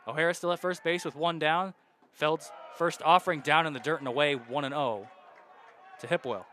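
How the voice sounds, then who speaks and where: A young male radio announcer calls a baseball game in a bright, fairly high voice, ticking off counts and runners in a steady flow. Faint outdoor ballpark noise sits behind him. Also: moderate pace. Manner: steady count-and-situation narration, rising loud on hits. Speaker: play-by-play announcer.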